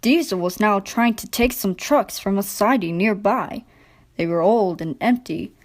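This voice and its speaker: A woman's voice: medium pitch, measured pace. A woman narrating a scripted children's storybook.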